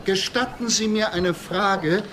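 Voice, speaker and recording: An actor playing a grave public orator who gives a formal speech in deliberate short phrases. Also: male voice, high voice, a crowd in the background, rough recording. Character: grave male public orator addressing a meeting.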